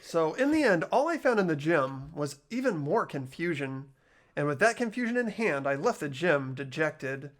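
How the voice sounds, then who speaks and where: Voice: medium pitch, very animated, normal speed, lightly acted. A fan dubber voicing an anxious teenage boy student, narrating his worried inner thoughts in a game. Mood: puzzled. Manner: earnest inner monologue, worried questioning. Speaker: host and lead voice actor.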